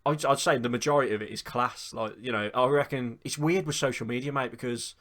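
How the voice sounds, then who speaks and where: A man's voice: medium pitch, fast, a bit bright. A man, hosting a casual football chat in a chatty way and swearing now and then. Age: 20s. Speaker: podcast host.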